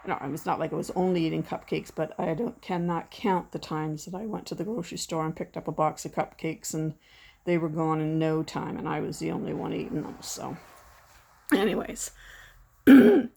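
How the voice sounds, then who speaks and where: A woman in her sixties chatting casually from behind a camera at home, rambling and self-deprecating, her voice going hoarse. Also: low-pitched, normal speed, a little mellow. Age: 60s. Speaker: vlogger. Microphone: camera mic, speaking from behind the camera.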